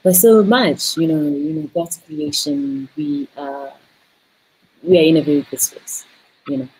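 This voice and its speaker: A woman's voice: sits low, unhurried, a little mellow, a little hiss or hum, low-quality recording. A South African woman in her thirties, a tourism business owner on a video call, talking animatedly with many repeated words and fillers. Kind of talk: casual video-call interview. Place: indoor room.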